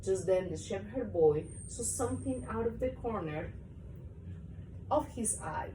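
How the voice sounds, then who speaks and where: A woman reading a children's story aloud to a young child in an animated, expressive way. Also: mid-range voice, unhurried. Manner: expressive, acts out story parts. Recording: roomy, distant mic, faint noise.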